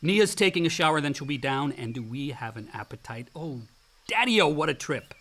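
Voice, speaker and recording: Exuberant, higher-voiced young man. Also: animated, moderate pace, a bit bright. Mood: exhilarated. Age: young adult. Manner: casual, effusive, slangy. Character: exuberant, outdoorsy grown son, self-doubting dropout scholar. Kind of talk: naturalistic radio drama acting.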